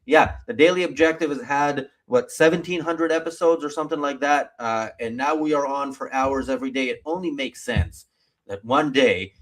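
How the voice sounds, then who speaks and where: A man's voice: medium pitch, moderate pace, poor audio. Guy hosting a live online talk show, casual chat, likes to tease and banter. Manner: bantering, teasing.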